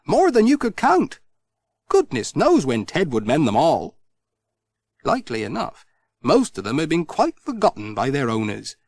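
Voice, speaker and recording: Very animated male children's storyteller. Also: fairly high pitch, no echo. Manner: expressive, storytelling. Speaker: audiobook narrator. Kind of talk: scripted children's storybook narration.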